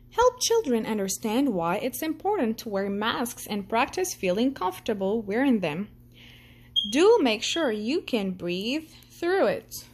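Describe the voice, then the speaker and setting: A woman reading a children's picture book aloud in a gentle storytelling manner. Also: on the high side. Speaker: storytime reader.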